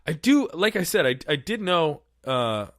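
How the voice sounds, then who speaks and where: A man's voice: on the high side, sing-song, measured pace, fairly bright tone, dry recording, studio quality. A man hosting a solo podcast, reading aloud and then riffing in a casual, profane, rambling way. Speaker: podcast host. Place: small studio.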